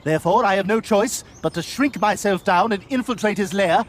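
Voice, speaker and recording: A man's voice: high-pitched, a little fast, a little hiss or hum, close to an everyday voice. A man playing a haughty, scheming baby genius in a cartoon video game, with a dry, theatrical manner. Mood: scornful, determined. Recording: loud game music over the voice.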